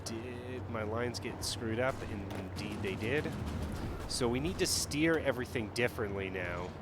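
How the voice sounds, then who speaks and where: A man's voice: mid-range voice, measured pace, loud music behind the voice. A man streaming a strategy game live, talking casually and thinking aloud as he plays. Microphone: headset.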